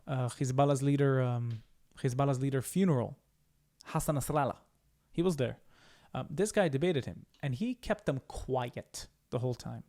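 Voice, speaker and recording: A man's voice: mid-range voice, gravelly, mellow. Emphatic man reacting. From Israel. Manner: emphatic, repeats points for stress. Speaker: channel host. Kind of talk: reaction commentary.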